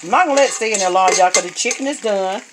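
A woman's voice: medium pitch, very fast. Chatty woman cooking at home. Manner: chatty, homey, keeps saying y'all. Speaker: home cook vlogger. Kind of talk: casual cooking narration. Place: home kitchen at the stove. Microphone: phone camera held over the pot. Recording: noisy kitchen phone recording. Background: clinking pots and utensils.

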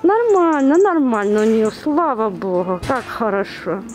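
A woman's voice: fairly high pitch, sing-song, measured pace, a little mellow, faint music behind. A woman cooing affectionate baby talk to animals in a repetitive, playful way, filming outdoors on a handheld camera. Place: outdoors beside a zoo lion enclosure. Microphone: handheld camera, speaker behind it.

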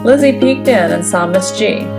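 A woman narrates a children's storybook expressively. Her mid-pitched voice has noticeable creak, and music plays clearly behind her. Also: lively intonation, normal speed. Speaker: storybook reader. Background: background music.

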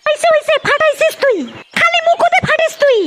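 A performer voicing a scolding, sarcastic village mother in a comedy cartoon, with a North Bengal accent and an artificially pitched-up voice. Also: a little fast. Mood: exasperated, sarcastic. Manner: sarcastic, nagging, coarse-mouthed. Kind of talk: comedy cartoon dialect dialogue. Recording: loud background noise with clicks.

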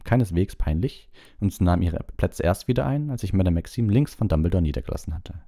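A man's voice: low voice, lively intonation, quick pace, dark tone. A man reading a storybook aloud as an audiobook narrator, in scripted narration.

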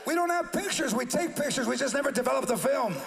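A man in his forties doing stand-up comedy on a large theater stage with a handheld mic, switching quickly between voices and Spanish phrases. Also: very high-pitched, animated, creaky, roomy sound. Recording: live theater show with audience noise. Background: audience noise.

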